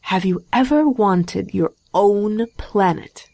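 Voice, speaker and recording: A woman playing a polite, flattering, gently probing hostess in an audio comedy skit, coaxing as she talks. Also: mid-range voice, slow, vocal fry, dry recording.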